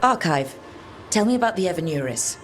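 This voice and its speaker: A woman's voice: lowish voice, big pitch swings, a little fast, faint music behind. An actress playing an easygoing, wry woman who leads adventurers in a video game, talking casually and dryly. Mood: wry, probing.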